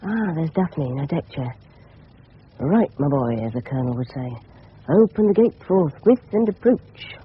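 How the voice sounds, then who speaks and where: A man's voice: fairly high pitch, sing-song, normal speed, a little hiss or hum. Cocky teenage boy, southern English accent, well-spoken, radio drama, muffled old broadcast. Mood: buoyant, cocky. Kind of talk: 1970s radio drama acting.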